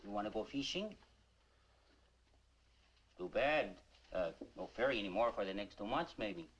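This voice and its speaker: An actor playing a sleepy, easygoing Mexican local in a 1950s film, laconic and Mexican-accented. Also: male voice, fairly low pitch, lively intonation, normal speed, a bit bright, slightly rough. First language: Spanish. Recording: muffled old film soundtrack.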